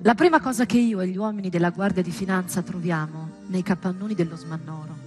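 A woman performing a scripted theatrical monologue, storytelling with deliberate pauses and emphatic, dramatic delivery. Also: lowish voice, steady tone, over music. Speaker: stage performer.

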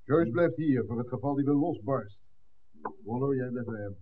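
An actor playing a cold, commanding gang boss in a 1960s radio drama, giving measured threats and curt orders. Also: male voice, medium pitch, slight background noise, close to an everyday voice. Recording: tinny, band-limited old radio recording.

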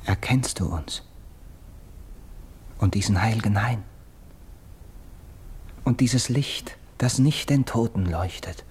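A man's voice: fairly low pitch, takes it slowly, long pauses, a little mellow, a little hiss or hum. An actor playing a steadfast, loyal warrior friend in a classical radio verse drama, earnest and urgent in his declamation.

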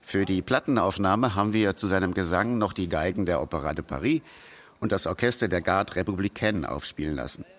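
An actor playing a record producer proudly recalling a star's success in a wry, colloquial radio play narration. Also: male voice, deep voice, fairly quick.

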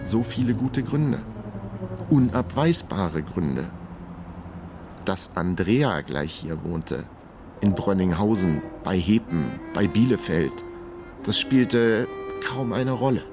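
A man's voice: lowish voice, lively intonation, slow pace. An actor playing a weary, chaotic investigator who narrates his own radio crime story with dry, wry understatement. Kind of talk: radio crime drama first-person narration. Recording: radio production with prominent music bed. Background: music.

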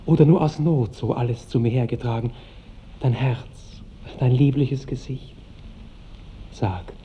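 A man's voice: medium pitch, dark tone, slight background noise, close to an everyday voice. An actor playing a tender, protective young nobleman in love, speaking earnest lyrical verse in a 1960s radio drama. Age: young adult.

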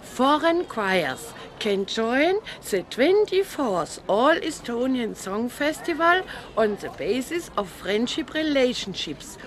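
Brisk Swabian woman. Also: on the high side, very animated, slight background noise. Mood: eager. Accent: Swabian German. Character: brisk, eager female choir-singing friend of an inspector. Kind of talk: naturalistic radio drama acting.

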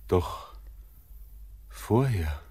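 A dream-haunted bridegroom in 1940s radio drama style. The voice is deep and husky, and extremely slow. Weary, feverish love gives each halting phrase its intensity. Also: male voice, lively intonation, mellow, heavily acted. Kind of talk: 1940s German radio play acting.

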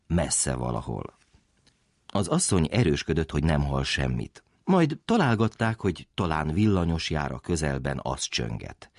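A man with a husky voice reading a literary story aloud, expressively acting out the dialogue. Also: extremely low pitch, no echo, clean studio sound. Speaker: reader of a literary excerpt.

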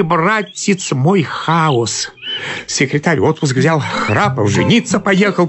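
An actor playing an exhausted, self-pitying married civil servant in a radio play, pleading and ranting theatrically. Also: male voice, fairly high pitch. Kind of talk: radio play acting of a classic comic story. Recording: studio radio play with music.

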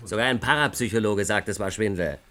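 A man's voice: on the low side, fast talker, sharp tone. An actor playing a blunt, sarcastic male journalist in a German radio play. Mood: dismissive. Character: gruff, sceptical, scornful male newspaper journalist.

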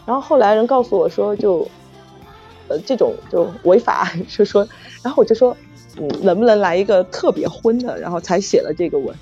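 A woman chatting casually as a guest author, halting and repeating phrases, with self-deprecating giggly humor. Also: medium pitch, lively intonation, dark tone. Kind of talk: casual unscripted chat. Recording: muffled lo-fi audio, music behind. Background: saxophone background music.

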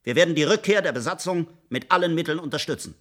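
Male mission chief, sci-fi radio play: husky, raised pitch, animated, blunt authority, sceptical. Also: fast talker. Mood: stern, dismissive. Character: commanding, sceptical male leader of a space project. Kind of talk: German radio play acting.

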